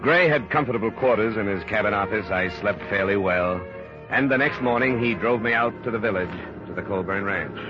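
Over a muffled vintage radio broadcast with swelling music comes a composed male investigator played by an actor. His low voice is terse and deadpan, delivered in the measured manner of a hard-boiled detective serial. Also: lightly acted. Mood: brooding narration. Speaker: actor playing the lead and narrator. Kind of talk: 1950s radio detective drama, first-person narration.

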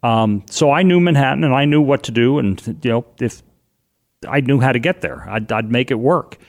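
A middle-aged man with a loud voice, telling a personal story as an interview guest, animated and vivid, acting out what people said. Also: mid-range voice, normal speed. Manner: vivid, animated retelling with acted-out quotes.

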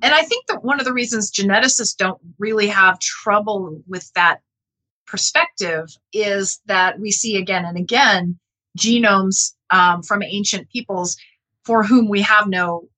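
Bright-voiced woman explaining academic research. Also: mid-range voice, a little slow, low-quality recording. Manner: earnest, explanatory, checks with "right?". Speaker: interview guest, geneticist and author. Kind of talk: conversational academic interview.

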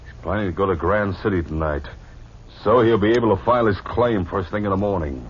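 An actor playing a callous, scheming saloon owner, sneering and domineering, in a 1950s western radio drama. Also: male voice, deep voice, a little fast, slightly rough. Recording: dull, noisy old radio recording.

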